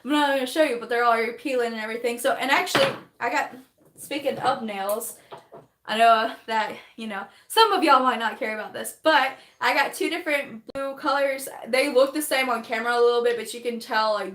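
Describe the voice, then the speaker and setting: A young woman, chatting on a phone livestream while doing her makeup, rambling fast, laughing often and losing her voice. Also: on the high side, a bit bright, echoey room, a little hiss or hum. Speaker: livestreaming vlogger.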